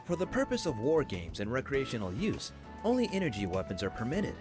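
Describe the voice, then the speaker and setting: A man playing a formal, instructive professor in a video game, lecturing in a measured, articulate way. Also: mid-range voice, wildly swooping pitch. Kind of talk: scripted game voice acting, classroom lecture. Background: game music.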